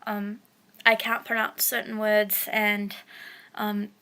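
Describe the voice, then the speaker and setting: A woman's voice: medium pitch, monotone, slow pace, sharp tone, smooth. A young woman vlogging about her own experience in a casual, frank way, with a stutter and a speech impediment, using lots of fillers and swearing. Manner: stutters and repeats words, many fillers, frank and profane. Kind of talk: casual personal vlog.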